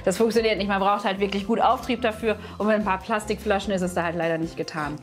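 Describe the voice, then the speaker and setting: A woman's voice: medium pitch, fairly even intonation, quick pace. A young woman in casual banter, asking questions and explaining things. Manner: inquisitive, explanatory. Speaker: player questioning stories to spot lies. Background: music.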